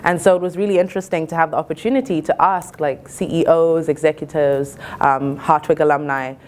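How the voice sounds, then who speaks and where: Casting: female college student, early twenties, low register, earnest and reflective delivery. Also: steady tone, brisk. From Zimbabwe. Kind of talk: reflective interview-style talk.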